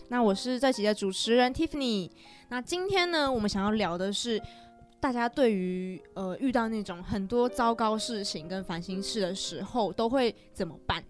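A woman in her twenties hosting a podcast in a casual, chatty style. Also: on the high side. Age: 20s. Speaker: podcast host. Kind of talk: casual chat.

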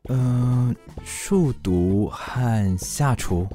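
An actor playing a mild, hesitant middle-aged widowed father, gently exasperated, in an audio drama. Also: mid-range voice, drawn-out words, soft background music. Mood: indignant.

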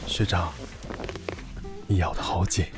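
A man playing a stoic, devoted, quietly teasing younger police partner, deadpan and softly spoken, in an audio drama. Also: low voice, unhurried, music in the background. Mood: intimate teasing. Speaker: voice actor.

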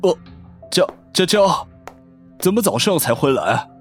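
A man's voice: on the high side, sing-song, normal speed, faint music behind. A man playing a desperate, self-justifying middle-aged gambler and father in a radio drama, defensive in manner. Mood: awkward, pensive.

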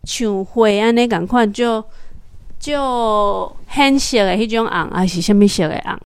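A woman's voice: mid-range voice, measured pace, clear, resonant tone, no echo. A woman with a Taiwanese accent talking children through a picture book as their mother, asking guiding questions and repeating answers.

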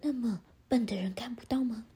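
A woman voicing a vain, short-tempered king who shouts and fusses, in a children's storybook. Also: fairly high pitch, brisk, breathy edge, voice pushed up. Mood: fussy. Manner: shouting, fussy and demanding.

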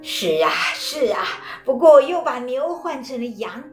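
Cheery simple old grandpa in a storybook, a lady doing him, highish voice, roomy sound, bit of music behind. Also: expressive, measured pace. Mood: cheerful. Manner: proud, easygoing, chuckling. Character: foolish, cheerful old man.

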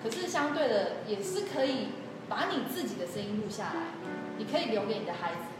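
A woman with a Taiwanese Mandarin accent, co-hosting a livestream in a chatty, expressive storytelling way. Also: mid-range voice, brisk. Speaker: co-host of a livestream. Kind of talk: casual promotional chat. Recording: very echoey hall, loud music behind. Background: loud music.

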